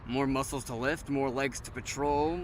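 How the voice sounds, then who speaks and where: A man's voice: medium pitch, steady tone, moderate pace, slight background noise, close to an everyday voice. A man playing an easygoing, philosophical survivor in an audio drama, wry, slangy and teasing. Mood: upbeat persuasion. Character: easygoing, philosophical male survivor, restless tinkerer. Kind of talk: audio drama acting, casual dialogue.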